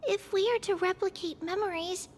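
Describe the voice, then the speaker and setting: A woman's voice: very high-pitched, fairly even intonation, slight background noise. Tiny, timid, dreamy little girl. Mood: explaining. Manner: halting, childlike short phrases. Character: timid, dazed little girl speaking in third person. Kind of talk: video game voice acting. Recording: music behind the voice.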